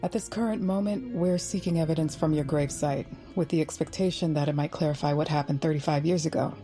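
An actress playing a brisk, commanding female ally in an audio drama, formal and businesslike in delivery. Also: deep voice, dark tone, faint music behind, natural voice. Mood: briefing, businesslike.

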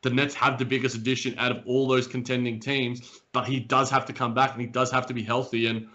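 Chatty man with a bright, tense voice. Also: medium pitch, a little fast. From Australia. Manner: animated, rambling with restarts. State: slightly raspy morning voice. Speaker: podcast co-host. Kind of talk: casual sports podcast chat. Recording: dull lo-fi remote audio.

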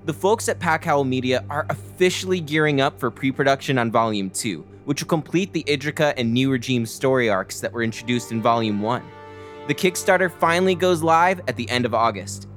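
A man's voice: mid-range voice, a bit bright. A man presenting an audio drama in a conversational way, like someone sharing a personal journal. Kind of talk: first-person journal narration and show announcement. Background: faint music.

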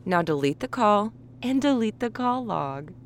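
A woman's voice: medium pitch, expressive, measured pace, slight background noise. An actress playing a veteran woman police officer who coldly bullies a young coworker. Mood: menacing. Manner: smug, threatening, controlled.

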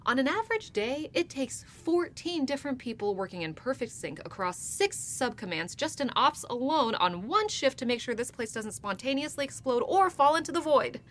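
A woman's voice: high-pitched, big pitch swings, normal speed, hardly any pauses, fairly bright tone, a little hiss or hum. A woman playing a self-assured, teasing starship captain in a naturalistic sci-fi audio drama, conversational and wry. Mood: reflective. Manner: wry, conversational, playfully probing.

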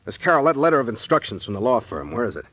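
Stern suspicious police captain, old radio drama, blunt and bossy, really dry close sound. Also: male voice, medium pitch, big pitch swings, rapid-fire, a bit bright, no room sound. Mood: pressing, suspicious. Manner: commanding, blunt questioning. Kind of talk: 1940s radio acting.

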